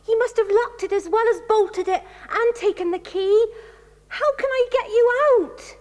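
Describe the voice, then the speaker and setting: A woman's voice: extremely high pitch, moderate pace, darkish tone. A woman playing a plucky young girl in a children's audio drama. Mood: alarmed. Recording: reverberant hall sound, off mic.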